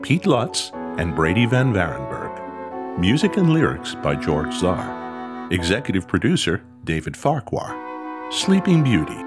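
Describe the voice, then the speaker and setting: Animated low-voiced male announcer over music. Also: a little slow. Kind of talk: scripted credits narration.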